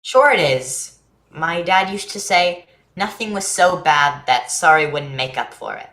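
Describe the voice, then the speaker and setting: A woman's voice: low voice, lively intonation, a little slow, a bit bright, roomy sound, exaggerated voice. A woman playing an earnest, eager and frank young boy in a staged play reading. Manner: earnest, blunt, childlike.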